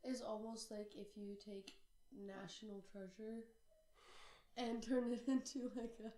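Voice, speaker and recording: A young woman co-hosting a casual podcast chat, hoarse and phlegmy from a cold, with lots of filler words. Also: on the high side, level tone, dark tone. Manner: drawn-out, filler-heavy talk. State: sick, losing her voice. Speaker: podcast co-host. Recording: echoey room.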